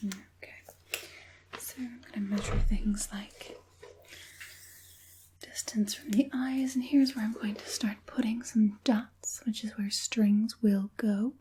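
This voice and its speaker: A woman voicing a sly, falsely soothing evil witch puppet master in a breathy, coaxing whisper for an ASMR roleplay. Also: mid-range voice, steady tone, dark tone, slight background noise, lightly acted. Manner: coaxing, unhurried, sinisterly sweet.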